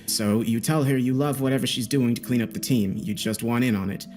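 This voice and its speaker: A man's voice: mid-range voice, fairly quick, darkish tone, lightly acted. Man playing a probing police detective over music. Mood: coaxing. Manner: firm, probing, coaxing. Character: male police detective, probing, coaxing interrogator. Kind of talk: dramatic audio story dialogue. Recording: background music behind the voice.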